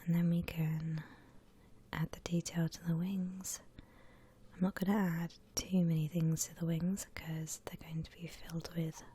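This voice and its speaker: A woman whispering gently and breathily as she guides listeners through a drawing, in the style of an ASMR tutorial. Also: on the low side, level tone, breathy edge. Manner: gentle, unhurried step-by-step explaining. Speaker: host of an art ASMR channel.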